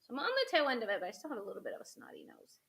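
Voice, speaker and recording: Lively chatty woman with sniffles. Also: fairly high pitch, racing, gravelly. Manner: chatty, talks to viewers as she works. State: sniffly with a cold. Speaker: craft host. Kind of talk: casual craft demo chat.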